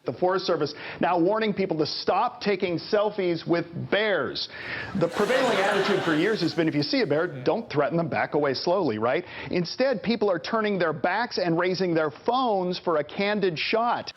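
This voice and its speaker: A man reads a news report in a brisk, lightly wry manner. His voice sits fairly high. The clip carries clear background noise. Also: animated.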